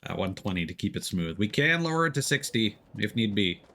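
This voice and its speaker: A man doing casual live gaming commentary and chatting with viewers, excitable and quick to exclaim when surprised. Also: on the low side. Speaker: video game streamer.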